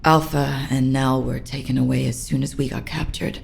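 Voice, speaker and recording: An actress voicing a bitter, guilt-ridden military captain in a video game, with a low, gravelly voice. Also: female voice, steady tone, moderate pace, slight background noise, heavily acted. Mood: bitter, haunted. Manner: bitter, self-reproaching. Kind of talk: dramatic video game cutscene acting.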